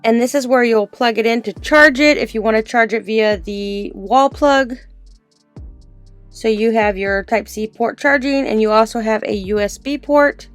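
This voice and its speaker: A woman's voice: a little high, normal speed, no room sound, soft background music. A woman giving a casual product review and demonstration, explaining things informally and step by step.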